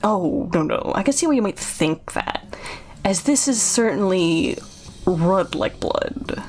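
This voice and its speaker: A woman's voice: mid-range voice, animated, slow pace. A woman voicing a creepy, hunched male innkeeper in a video game, with sinister politeness, a lisp and oddly stressed words. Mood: evasive, polite. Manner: lisping, oddly emphasized words. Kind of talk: video game character read-aloud.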